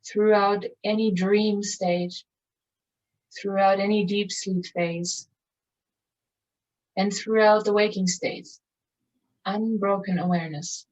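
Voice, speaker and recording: A woman speaks as a meditation teacher, guiding listeners calmly and contemplatively. Her medium-pitched voice moves in measured phrases separated by long pauses. The mic sits some way off, leaving the sound slightly dull and lo-fi. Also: distant mic. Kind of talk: guided meditation, spoken slowly with long pauses.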